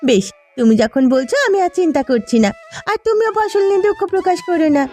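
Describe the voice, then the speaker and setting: A woman plays a caring village wife in a cartoon. Her voice is very high, with an earnest, pleading delivery. Music runs softly underneath. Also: very animated, quick pace. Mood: reassuring. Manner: pleading, solicitous. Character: caring, earnest poor farmer's young wife. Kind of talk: Bengali cartoon voice acting.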